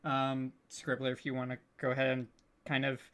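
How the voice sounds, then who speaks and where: Bright-voiced man, halting and stammering. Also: medium pitch, monotone. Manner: hesitant, stammering, stop-start delivery; apologetic, self-deprecating. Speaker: panel host, audio drama producer. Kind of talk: casual, unscripted panel talk.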